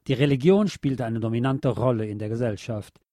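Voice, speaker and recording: A man reading a scripted historical documentary for radio in a measured, formal manner. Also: medium pitch, warm tone, no room sound. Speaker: radio narrator.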